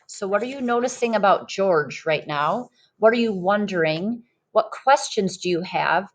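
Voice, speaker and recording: A woman reading a children's story aloud expressively, like a teacher, in a deliberate manner that invites listeners in. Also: medium pitch, expressive. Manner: slow, deliberate, pauses to ask listeners questions.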